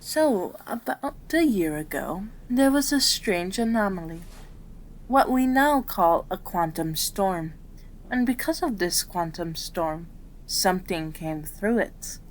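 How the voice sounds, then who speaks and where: A woman voicing a gentle, patient female kitsune doctor in an ASMR roleplay, with a soothing, polite, measured manner. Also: medium pitch, rough recording. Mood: reluctant, somber.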